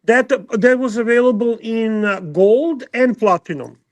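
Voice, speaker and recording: A man with a Serbian accent chatting casually about watches on a livestream, halting and restarting often. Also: very high-pitched, dry recording. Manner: halting, lots of restarts and filler.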